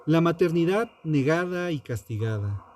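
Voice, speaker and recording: A man narrates a scripted true crime piece in a solemn, dramatic and deliberate manner. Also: fairly high pitch, animated, darkish tone, a little hiss or hum. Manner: solemn, dramatic, deliberate phrasing with rhetorical questions. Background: music.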